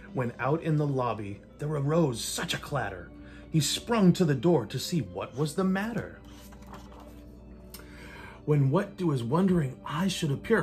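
Older man reading a rhyming storybook theatrically. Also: on the high side. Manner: theatrical, measured delivery with playful emphasis. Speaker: reader of a picture book. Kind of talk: rhymed storybook read-aloud. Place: indoors in a home living room. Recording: light room noise.

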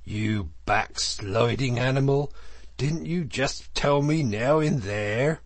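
A grave storybook badger, played by a man, low and gravelly. He moralizes with firm, solemn authority and takes his time over each phrase. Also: expressive, slow pace, no echo, exaggerated voice. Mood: scandalized. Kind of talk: storybook character voice.

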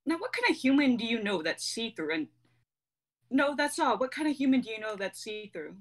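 A woman's voice: on the high side, sing-song, fairly quick. A woman in her twenties playing a sassy, foul-mouthed cat in human form, flippant and sardonic, in a dramatic reading. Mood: sassy, irritated. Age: 20s. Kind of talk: amateur dramatic script reading. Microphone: close mic on a video call.

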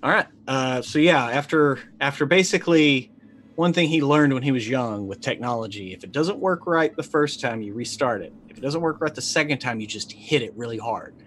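Halting middle-aged man, medium-pitched voice. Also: a bit bright, slight background noise. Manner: halting, thinking aloud. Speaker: tabletop roleplaying player. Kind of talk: casual improvised game play.

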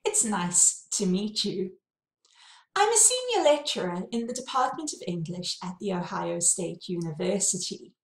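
South African woman lecturer, lively pitch. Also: normal speed, roomy sound. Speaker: university lecturer. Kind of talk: course welcome lesson. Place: home study with bookshelves, indoors.

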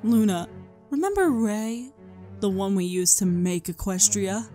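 A woman's voice: mid-range voice, lively intonation, unhurried, dark tone, lightly acted. A woman voicing a cold, mocking, ancient regal pony princess in a dramatised story reading, sardonic in manner. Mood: mocking, casual menace. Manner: leisurely, sardonic. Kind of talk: dramatised fan fiction reading. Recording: music behind the voice.

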